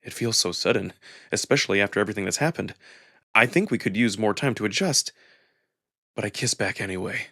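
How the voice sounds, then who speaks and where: A man performing dramatic first-person narration of a visual novel in an intimate, expressive storytelling manner. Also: lowish voice, fairly quick, dry recording, studio quality.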